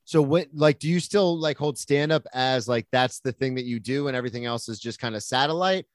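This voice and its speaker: An easygoing man hosts a casual interview in a mid-pitched voice. He asks loose, rambling questions. The recording is dry and close. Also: fairly quick, dry recording. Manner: easygoing, many short yeahs and okays. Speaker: interviewer, podcast host.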